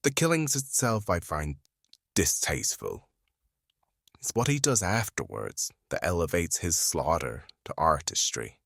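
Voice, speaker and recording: A woman voicing a weary, guarded male FBI profiler in an audio drama, tired and deliberate, her voice pitched down to sound masculine. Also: sing-song, gravelly, no room sound, studio quality, heavily acted. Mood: defensive. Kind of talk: fan audio drama acting.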